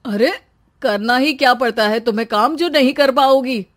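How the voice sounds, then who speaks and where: A woman voicing a whiny, self-pitying young husband in animated story dialogue, complaining dramatically. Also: high-pitched, moderate pace. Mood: dismissive.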